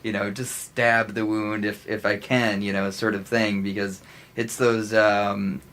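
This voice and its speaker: American guy on a podcast, lowish voice, kinda stumbles around for words, casual. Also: level tone, fairly bright tone. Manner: halting, searching for words. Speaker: podcast co-host.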